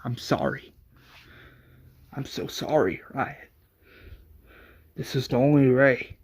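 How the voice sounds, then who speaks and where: A man voicing a resolute, secretive woman fighter in a story, with a quiet and measured delivery. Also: mid-range voice, fairly even intonation. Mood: hushed remorse.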